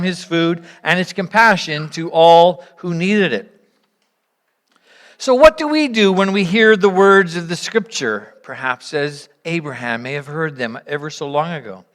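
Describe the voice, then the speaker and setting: A woman leads a congregation through a reflective sermon. She speaks in measured, earnest phrases, often breaking them into short pieces. She poses rhetorical questions and leaves pauses between her points. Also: fairly low pitch, a bit bright. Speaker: church speaker leading an interactive service.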